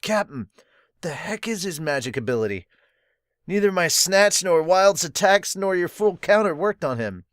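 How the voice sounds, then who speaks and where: A brash young fighter, voiced by a man who sounds loud and rough with disbelief. The voice is pitched well above the reader's own and is recorded dry and close. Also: lively intonation, normal speed, no room sound. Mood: frustrated.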